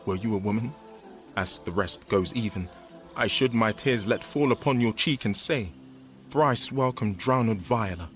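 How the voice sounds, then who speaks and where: A man's voice: lowish voice, unhurried, close to an everyday voice. An actor playing an ardent young nobleman and long-lost twin in a radio Shakespeare drama, heartfelt and earnest. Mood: awed wonder. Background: music.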